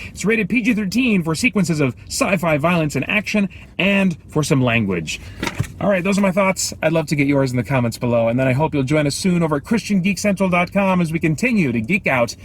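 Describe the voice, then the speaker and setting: A middle-aged American man giving a casual off-the-cuff review, thinking aloud in a halting, self-interrupting way. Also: on the high side. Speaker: movie reviewer and host. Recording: clear background noise, ambient sound.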